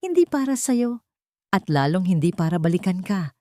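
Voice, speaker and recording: Woman voicing a firm, forgiving young mother, mellow. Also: lowish voice, sing-song, dry recording, clean studio sound. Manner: firm, measured.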